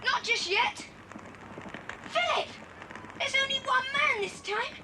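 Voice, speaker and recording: A performer playing an excitable, watchful boy lookout in a children's adventure radio play. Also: extremely high pitch, slow pace, full character voice. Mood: excited, scheming. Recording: very noisy old cassette transfer.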